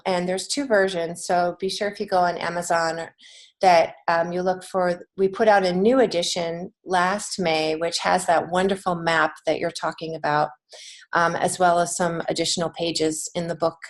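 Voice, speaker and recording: A woman's voice: lowish voice, steady tone, normal speed. An American woman giving conversational interview answers as a podcast guest, speaking in an earnest, encouraging way. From United States. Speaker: podcast guest, children's book author and teacher.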